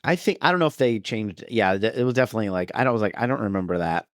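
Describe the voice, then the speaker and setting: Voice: medium pitch, animated, rapid-fire, dry recording, clean studio sound. A podcast co-host talking casually in a conversation about movies. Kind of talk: casual movie discussion chat.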